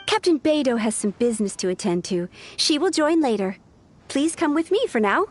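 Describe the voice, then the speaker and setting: A woman's voice: high-pitched, expressive, normal speed. A woman playing a polite, eager young secretary in a video game, with courteous official phrasing.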